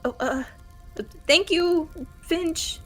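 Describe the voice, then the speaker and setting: A woman's voice: extremely high pitch, pitched above the performer's own voice. Woman playing a stammering, timid male adventurer. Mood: flustered, grateful. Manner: halting, stammering. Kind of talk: improvised tabletop audio drama roleplay. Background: music.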